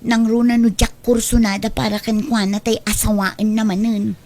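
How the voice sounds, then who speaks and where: A pampered young Ilocano daughter in a radio drama , acted by a woman. She has a high voice and talks in a stubborn, scheming, chummy way. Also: flat delivery, normal speed, a little mellow, lightly acted. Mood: stubborn, conspiring. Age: young adult.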